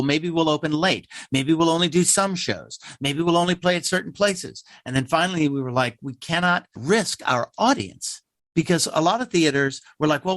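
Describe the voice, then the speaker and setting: Animated American man telling stories. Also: a little high, no room sound. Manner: animated storyteller who acts out remembered dialogue. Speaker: interview guest, theater playwright, actor and director. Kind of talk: conversational interview storytelling.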